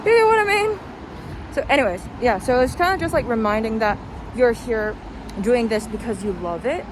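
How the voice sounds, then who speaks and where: A woman's voice: on the high side, animated, moderate pace. Rambling young woman, noisy street behind. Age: young adult. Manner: rambling, reflective. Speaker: vlogger. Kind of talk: casual chatty vlog monologue. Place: outdoors, walking. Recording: noisy outdoor street with traffic.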